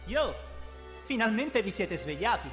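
With long reverb and music behind, a friendly young anime coach played by a man speaks in a fairly high voice. He is direct, upbeat and determined. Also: big pitch swings, measured pace, a bit bright, full character voice. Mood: cheerful. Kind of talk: Italian fan dub of anime.

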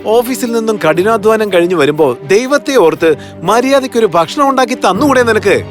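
A man's voice: high voice, lively intonation, brisk, over music. A man playing a weary, irritable, grumbling husband in a fairy-tale cartoon, with an exasperated, complaining manner. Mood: irritated.

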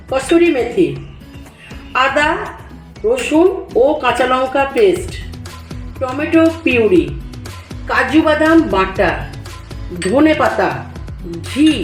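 A woman hosting a cooking channel, giving recipe instructions in a measured, step-by-step way in short phrases. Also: high-pitched. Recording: roomy echo, music behind.

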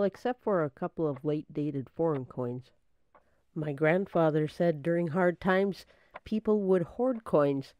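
A woman's voice: on the low side, mellow, no echo. A woman playing a helpful, knowledgeable detective's assistant, earnest and explanatory, in old-time radio drama style.

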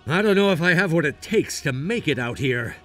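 A man voicing an earnest, loyal young gangster in an anime-style cartoon, presenting to viewers with animated delivery. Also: fairly high pitch, brisk, soft background music.

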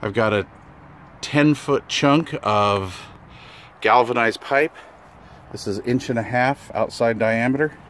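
A man's voice: medium pitch, takes it slowly, long pauses, a bit bright, slight background noise. A man giving a casual, plain-spoken hobbyist walkthrough, explaining a setup in informal words as he records on a handheld camera outdoors. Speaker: amateur radio hobbyist presenting his setup. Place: outdoors beside a camper trailer, briefly inside it. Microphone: handheld camera or phone, held by the speaker.

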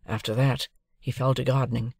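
A man's voice: mid-range voice, flat delivery, fairly quick, creaky, slightly rough, dry recording, clean studio sound. A man reading a classic novel as scripted audiobook narration.

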